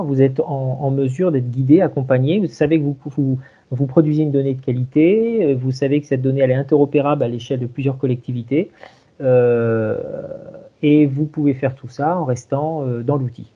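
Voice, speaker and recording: A man giving an informal technical demonstration over a video call, in a halting way with repeated words. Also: medium pitch, warm tone. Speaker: presenter of a software tool. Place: remote video conference. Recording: dull, lo-fi online call.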